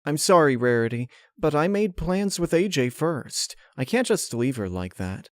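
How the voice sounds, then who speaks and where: Guy doing a high sweet girl pony, polite n apologetic, bit hesitant, storybook character. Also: big pitch swings, moderate pace, no room sound, clean studio sound.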